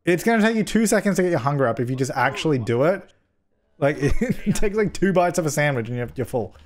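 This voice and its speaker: A young man with a slightly hoarse voice from a cold, giving jokey, chatty commentary while playing a life-simulation game and scolding his characters, recorded on a headset mic at home. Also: a little high, big pitch swings, fast. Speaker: gaming YouTuber. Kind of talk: casual let's-play commentary.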